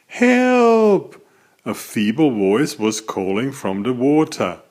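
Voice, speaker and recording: A man reading a children's story aloud as its author, in an expressive, deliberate storytelling manner. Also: fairly low pitch.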